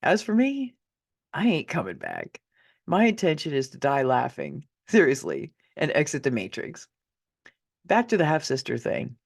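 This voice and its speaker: A middle-aged woman narrates her own story as if talking straight to the listener. Her voice has a gravelly creak and swings widely in pitch. She is candid and wry, leaning into key words for dramatic effect. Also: wildly swooping pitch, normal speed. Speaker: author reading own memoir. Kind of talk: conversational audiobook narration.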